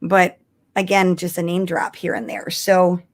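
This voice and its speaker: A woman's voice: lowish voice, level tone, measured pace. A woman chatting casually and expressively as co-host of a fan livestream.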